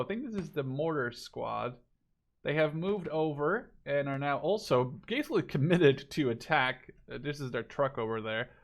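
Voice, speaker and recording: A man's voice: on the high side, fairly bright tone. A man giving casual live commentary on a video game match, thinking aloud as he watches.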